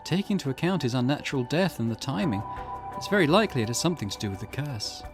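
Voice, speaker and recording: A man reading the part of a calm, serious, cautious teenage schoolgirl in a visual novel, measured and matter-of-fact. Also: medium pitch, music in the background. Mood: grave, composed.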